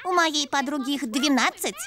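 A woman's voice: high voice, moderate pace, bright, forceful tone. An actress voicing a lovestruck female eagle in a cartoon dub, with a sweet, coaxing manner. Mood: fussy. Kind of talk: cartoon dubbing. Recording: music underneath.

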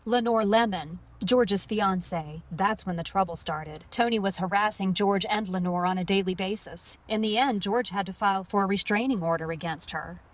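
A woman's voice: medium pitch, moderate pace, hardly any pauses, close to an everyday voice. Woman acting a 1950s screen actress, mid-range voice, candid, rueful, concerned, natural audio drama delivery. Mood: confiding.

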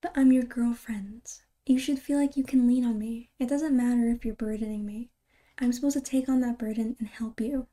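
A woman playing a caring, reassuring girlfriend in an ASMR roleplay, soft-spoken and breathy, close to a whisper, with halting, tender delivery. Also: fairly high pitch, fairly even intonation, close to an everyday voice. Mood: gentle concern.

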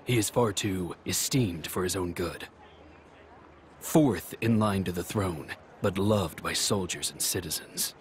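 A solemn armoured knight from a game. His voice sits low, formal and grave, with a little noise behind it. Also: unhurried. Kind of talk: video game cutscene acting.

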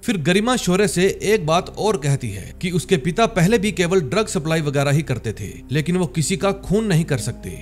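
Male recap narrator, slightly high-pitched, very varied intonation, explanatory storytelling, scripted voice-over, background music. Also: animated, normal speed, few pauses. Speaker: narrator of film and series recaps.

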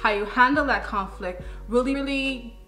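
A woman's voice: fairly high pitch, moderate pace, pushed and bright. A young woman co-hosting a vlog, giving casual relationship advice to camera in an emphatic, explanatory way. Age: young adult. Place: living room, on a sofa. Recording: echoey room. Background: music.

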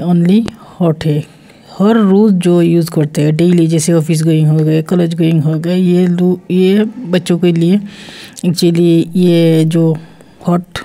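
A woman with a lowish, mellow voice talks through a casual home product review. She speaks in a flat, even tone and rambles, restarting sentences and mixing in English words. It sounds like a phone camera recording in a small room. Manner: chatty, rambling, with frequent restarts and English mixed in.

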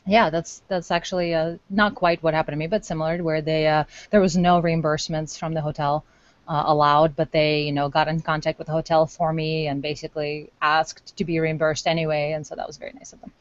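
Woman, podcast host, casual chat, rambling with fillers, lowish voice, dry dull call audio. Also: little pitch movement, fairly quick, no room sound. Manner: rambling, many fillers and restarts. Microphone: video call.

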